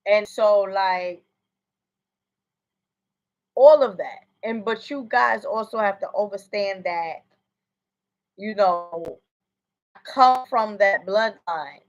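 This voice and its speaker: Slow-talking woman psychic, rambling. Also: medium pitch, highs cut off. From United States. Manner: rambling, repeats "you know what I'm saying". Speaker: psychic medium. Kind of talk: casual livestream reading.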